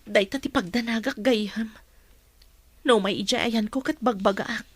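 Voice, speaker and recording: Woman acting a high-voiced worried village mother. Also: a little slow, breathy edge, no room sound. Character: worried, loving middle-aged village mother. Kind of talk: Filipino radio drama acting.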